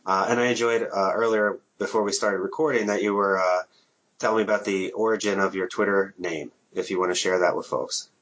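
Host: relaxed man with a low, husky voice, hesitant delivery. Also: fairly quick, muffled recording. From Minnesota. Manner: relaxed, hesitant, many ums. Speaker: podcast host. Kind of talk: casual interview. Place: home.

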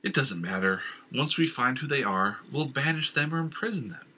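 A proud, stubborn king who issues firm commands, as a man acts him out. His voice is pitched above the narration and moves a lot, with a bright, husky tone. It is heard in a storybook reading. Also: animated, normal speed, exaggerated voice.

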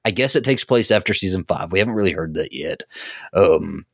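Guy with a deep voice cohosting a fan podcast, gushing, super casual, dry sound. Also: lively intonation, brisk, creaky, no echo. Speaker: podcast co-host.

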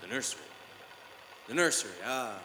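A troubled, dazed husband confides haltingly in an old radio play. His pitch swings widely, and the noisy recording rings with long echo. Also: lively intonation, bright, forceful tone. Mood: wistful. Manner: halting, distraught, wistful. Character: troubled, dazed husband and father recounting to psychiatrist. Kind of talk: 1950s radio drama acting.

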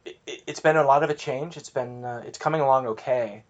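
A middle-aged man talking as a podcast guest in casual chat, halting and often restarting as he searches for words. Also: mid-range voice, dull audio.

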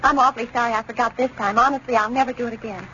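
A woman playing a chatty, thrifty American housewife with a brisk, rambling manner in a 1930s radio advertisement. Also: a little high, fairly even intonation, bright, forceful tone. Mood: apologetic. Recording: muffled, hissy 1930s radio recording.

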